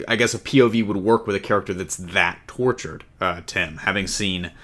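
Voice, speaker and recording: A man's voice: sits low, fairly quick, fairly bright tone. A man hosting a casual fan discussion of comic book films, often repeating and rephrasing as he goes. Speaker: podcast host.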